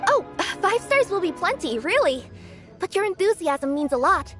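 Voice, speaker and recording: A woman's voice: very high-pitched, very animated, normal speed, fairly bright tone, soft background music, exaggerated voice. An actress playing a polite, bashful, cheerful young courier girl in a video game. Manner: courteous, upbeat. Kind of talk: video game character acting.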